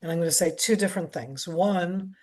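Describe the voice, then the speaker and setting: A woman's voice: fairly low pitch, a little fast, a little mellow. A middle-aged American woman, a security analyst, answering a question in an online briefing with earnest emphasis and frequent restarts. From United States.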